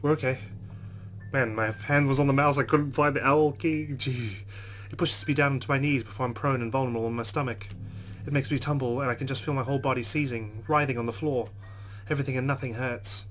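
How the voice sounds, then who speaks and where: A man's voice: medium pitch, fairly even intonation, a little fast, a bit bright, a little hiss or hum. Man dramatically reading a game's text. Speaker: let's play host. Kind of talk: reading a visual novel aloud with commentary.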